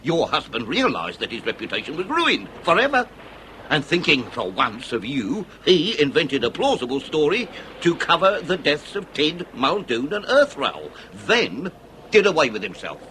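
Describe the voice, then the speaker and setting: Expressive, high-pitched British inspector. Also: male voice, slight background noise, exaggerated voice, poor audio. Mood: explaining, probing. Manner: formal, deliberate, theatrical. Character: grave, implacable but just police inspector. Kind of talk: radio drama acting.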